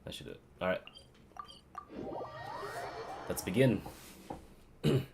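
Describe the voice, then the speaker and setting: A man with a very low voice talks his way through a casual game stream. His pace is unhurried and his pitch moves around expressively. He thinks aloud, half to himself, as a streamer does. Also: lively intonation, creaky, background noise. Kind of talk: casual live-stream commentary while gaming.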